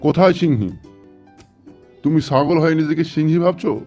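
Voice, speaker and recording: Man playing a dark-voiced lion king. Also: on the high side, normal speed, faint music behind, natural voice. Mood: mocking, incredulous. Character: gruff, menacing lion king of the jungle. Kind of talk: cartoon voice acting.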